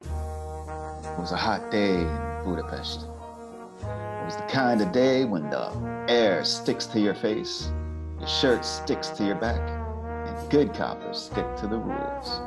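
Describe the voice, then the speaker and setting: A man narrating a tongue-in-cheek hard-boiled radio play in a deadpan, dramatic manner. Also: lowish voice, takes it slowly. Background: music under the voice.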